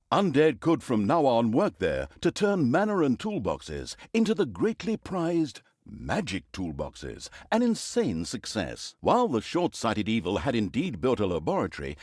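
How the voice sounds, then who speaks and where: A man playing a wry, mocking storyteller who narrates a dark fantasy comedy game in a theatrical way. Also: mid-range voice, no room sound, studio quality.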